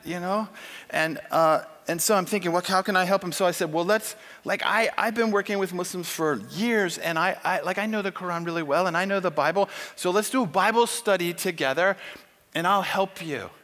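A middle-aged man preaching on a large church stage, emphatic and animated, acting out quoted lines as he tells a story. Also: high-pitched. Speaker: conference speaker. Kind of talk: sermon with storytelling. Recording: long hall reverb.

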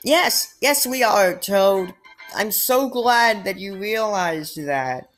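A woman's voice: mid-range voice, animated, slow pace, a bit bright, soft background music. A woman giving casual, sarcastic commentary over a game, reading its dialogue aloud. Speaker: gameplay commentator. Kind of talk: casual let's play commentary.

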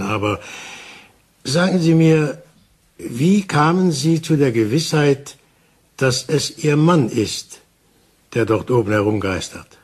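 Actor as husky, calm, probing investigator. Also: male voice, mid-range voice, close to an everyday voice. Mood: reassuring, firm. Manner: measured, deliberate questioning. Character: calm, probing male investigator, reassuring but insistent. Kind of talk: German crime radio play acting.

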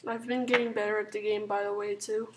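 A woman with a sore throat and a hoarse, sick voice, rambling softly through casual strategy game commentary with lots of ums and likes. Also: mid-range voice, little pitch movement, quick pace, noisy background. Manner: rambling, full of "like" and "um", soft-spoken. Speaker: gaming channel host.

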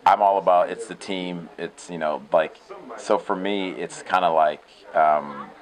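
A man in his thirties, an actor, talking through an interview in a relaxed, reflective way with many fillers and restarts. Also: deep voice, a little slow, rough recording. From United States. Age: 30s. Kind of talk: casual interview. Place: open shed with concrete pillars.

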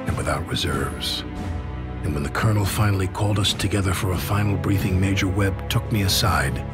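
A man's voice: sits low, natural voice. An actor playing a grave, weary soldier, narrating his war in a scripted video game cutscene.

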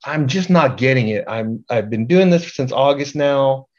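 A middle-aged man giving an informal slide presentation as a group organizer, halting and repeating words in a self-deprecating way. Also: medium pitch, lively intonation, a little mellow. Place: indoor room at home, on a video call. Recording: dull, lo-fi video call audio.

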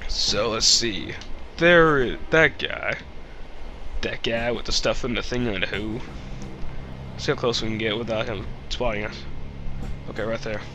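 A man's voice: on the low side, measured pace, pauses often, pushed and bright, soft background music. A young man hosting a Let's Play with casual, rambling, jokey gameplay commentary and a slightly stuffy nose. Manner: rambling, goofy, jokey asides.

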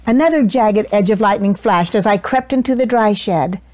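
An older woman reading a children's picture book aloud in an expressive, deliberate and dramatic storytelling manner. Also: mid-range voice, slight background noise. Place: small cosy living room indoors. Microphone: small clip-on lapel mic.

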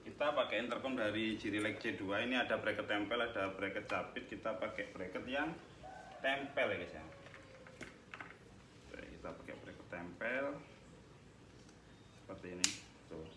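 A man's voice: on the low side, normal speed, pauses often. A young man hosting a casual helmet review vlog, chatting to his viewers as he takes the helmet apart. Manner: chatty, addresses viewers as guys. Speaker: motovlog host reviewing a helmet. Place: small room with tiled wall, indoors. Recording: echoey room, noisy background, mic at a distance.

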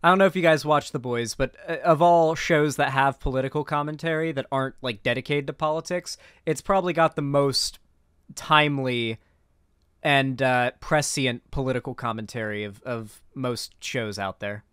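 A man's voice: medium pitch, normal speed, fairly bright tone, smooth, dry recording. A young man giving casual, opinionated reaction commentary as a streamer, speaking into a close mic indoors. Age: young adult.

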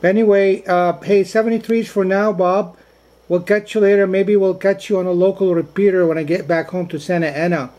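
A high-pitched man talks as an amateur radio operator from a room indoors. He keeps to procedure phrases and often repeats key words for clarity. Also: fairly even intonation, a little fast, darkish tone. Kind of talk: ham radio contact, short spoken exchanges. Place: indoors in a cabin.

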